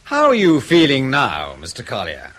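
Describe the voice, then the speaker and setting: Menacing interrogator, very animated intonation. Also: male voice, medium pitch, moderate pace. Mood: mock solicitous. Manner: mock-polite, insistent, precise. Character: smoothly menacing male secret-service interrogator. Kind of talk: radio drama dialogue.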